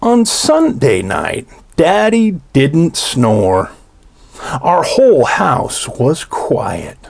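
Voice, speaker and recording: Very animated, slow-paced male storyteller. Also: fairly high pitch, vocal fry. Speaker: storybook reader for children. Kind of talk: children's read-aloud storytelling.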